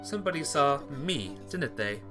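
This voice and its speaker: A man's voice: a little high, expressive, moderate pace, music in the background, lightly acted. A man voicing a reserved, formal schoolgirl with dry, reproachful banter from a visual novel. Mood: guarded. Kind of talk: visual novel character reading.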